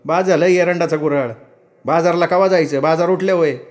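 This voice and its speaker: A proud young village wife with a sharp tongue, as a man puts her on, her voice lifted well above his own and snapping back in curt, scolding lines. It sounds like a storybook reading. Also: high voice, a little fast, voice pushed up, close to an everyday voice. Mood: sharp, irritated.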